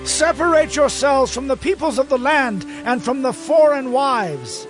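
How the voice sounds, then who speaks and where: An actor playing a grieving, devout priest in a dramatized audio Bible, solemn and declamatory. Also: male voice, very high voice, lively intonation, a little slow, soft background music. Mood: stern, urging. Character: grieving, devout priest pleading and admonishing his people.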